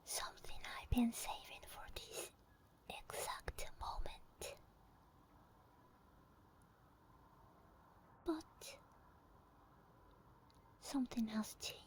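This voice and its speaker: A woman playing an obsessed, teasing and menacing sleep paralysis demon in a whispered ASMR roleplay, speaking deliberately and intimately with drawn-out pauses. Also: a little high. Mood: possessive, unsettled.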